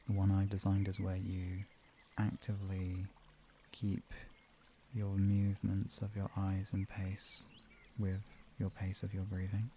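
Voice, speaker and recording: Gravelly, mellow-voiced man chatting on stream. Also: sits low, completely flat delivery, a little slow, slight background noise. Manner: informal, rambling, trailing off mid-thought. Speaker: live stream host and relaxation guide. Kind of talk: casual, improvised live-stream chat with viewers.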